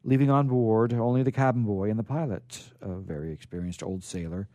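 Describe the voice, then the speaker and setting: A man's voice: lowish voice, brisk, warm tone, no echo. A man hosting a radio show, chatting casually and reading old stories aloud.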